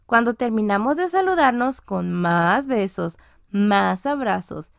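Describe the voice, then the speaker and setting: A woman reading a scripted children's audiobook story, with expressive, deliberately phrased storytelling. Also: mid-range voice, vocal fry, no room sound, clean studio sound. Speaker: audiobook narrator.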